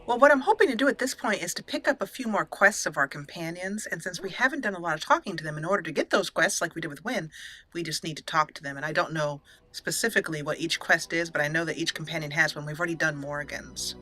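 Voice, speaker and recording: A woman's voice: on the low side, fast talker, sharp tone. A woman hosting a video game let's play, narrating the roleplay and reading dialogue aloud with expression. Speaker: gaming channel host.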